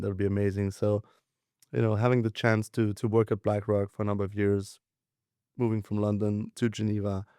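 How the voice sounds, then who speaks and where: A young man telling his story in a relaxed, rambling way, full of fillers and restarts. His voice is low and gravelly, with a dark, mellow tone. His delivery stays flat and even, and the sound is dry and close. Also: no room sound. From Denmark, raised in southern France. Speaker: guest, venture capitalist. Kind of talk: casual interview storytelling.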